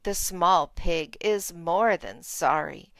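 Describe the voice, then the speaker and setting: A woman's voice: lowish voice, lively intonation, takes it slowly, gravelly, a bit bright, no room sound. A woman reading a children's storybook aloud as narrator. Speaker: storybook reader.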